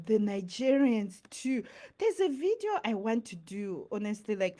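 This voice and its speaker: A woman's voice: on the high side, dark tone. A young woman from Zimbabwe talking casually to camera in a rambling, chatty way, full of fillers and asides. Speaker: vlogger. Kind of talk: casual talking-head monologue.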